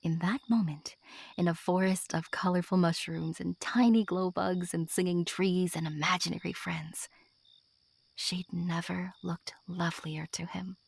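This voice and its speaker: A woman narrating a scripted story in a hushed, breathy storytelling manner. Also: on the low side, fairly even intonation, unhurried, no room sound.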